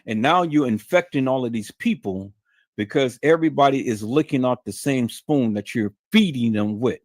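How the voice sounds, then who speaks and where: A man's voice: mid-range voice, moderate pace, darkish tone, no room sound. Man on a live stream going on at his viewers, preachy and emphatic, keeps repeating himself, dry close sound. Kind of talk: casual monologue to viewers.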